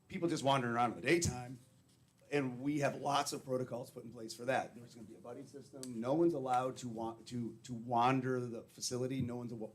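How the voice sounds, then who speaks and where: Slightly hoarse, blunt-talking man. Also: medium pitch. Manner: blunt, plain-spoken. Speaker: treatment facility director. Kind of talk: public meeting testimony.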